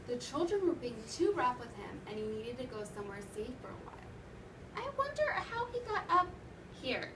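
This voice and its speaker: Lady teacher doing a read aloud, high voice, far from the mic, noisy room. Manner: wondering aloud, prompting. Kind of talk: interactive read-aloud to children. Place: classroom with children present. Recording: roomy, noisy classroom from far off.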